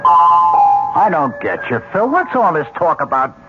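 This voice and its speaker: A man's voice: fairly high pitch, sing-song, takes it slowly, over loud music. An actor playing an impatient, baffled businessman in a 1970s radio drama, protesting in exclamations. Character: baffled, impatient business colleague, adult man. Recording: noisy, muffled old radio broadcast.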